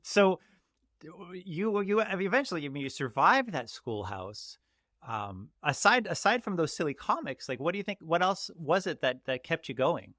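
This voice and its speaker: A man hosting a casual interview, asking friendly, halting questions with many restarts. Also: on the high side, big pitch swings, bright, forceful tone, no room sound, studio quality. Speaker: interview host.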